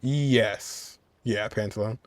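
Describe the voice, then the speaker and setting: A man's voice: fairly low pitch, takes it slowly, slight background noise. A man streaming a video game, commenting casually in a laid-back way as if talking to himself. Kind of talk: casual let's play commentary, reading game choices aloud.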